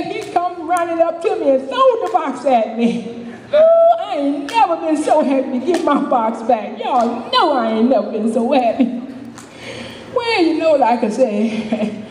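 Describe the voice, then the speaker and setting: Woman acting a high, swooping folksy street woman. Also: a little mellow, far from the mic. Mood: dramatic, pleading, then playful, chuckling. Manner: folksy, rambling, chuckling, repetitive, dramatic. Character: feisty, slightly crazy older street woman, storytelling, toothless. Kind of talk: live dramatic monologue, folksy storytelling. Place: live stage in a reverberant room. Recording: echoey hall from afar, audience noise.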